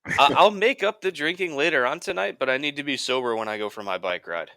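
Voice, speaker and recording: A man from upstate New York co-hosting a casual podcast, offering measured counterpoints. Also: medium pitch, animated, sharp tone, no room sound, clean studio sound. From suburbs of Albany, New York. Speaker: podcast co-host.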